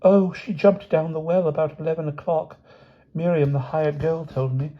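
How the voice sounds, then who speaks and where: A man's voice: a little high, steady tone, moderate pace, voice pushed up, natural voice. A man voicing a sleepy, sweet young country girl. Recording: dull lo-fi recording.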